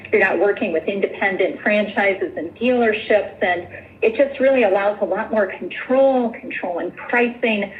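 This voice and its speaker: A woman explains business matters as a finance executive on a conference call. Her pitch is average and her delivery conversational. The line is thin and phone-like, with a little background noise. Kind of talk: explaining figures on an earnings call.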